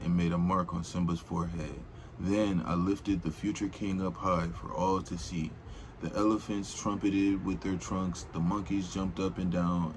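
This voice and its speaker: A man's voice: sits low, a little hiss or hum, rough recording. A man reading a children's storybook aloud in an informal, rambling way, with casual slangy asides.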